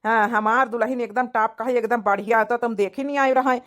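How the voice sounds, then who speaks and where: A woman's voice: a little high, steady tone, brisk, fairly bright tone, close to an everyday voice. A woman voicing a doting, reassuring young village groom in a cartoon, coaxing and repetitive, with an Awadhi accent. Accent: Awadhi, rural north India. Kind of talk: cartoon comedy dialogue.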